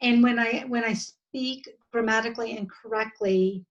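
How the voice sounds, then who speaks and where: Woman, about fifty, American accent, slightly high pitch, earnest, halting. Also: fairly even intonation, muffled recording. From southern United States. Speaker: podcast guest. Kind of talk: reflective interview conversation.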